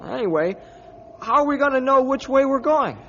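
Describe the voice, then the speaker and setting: An American actor playing an eager, impatient young sidekick in a 1950s radio adventure, excitable and plain-spoken. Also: male voice, very high-pitched, moderate pace, a little hiss or hum, heavily acted. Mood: puzzled, impatient. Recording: muffled old radio recording, busy background.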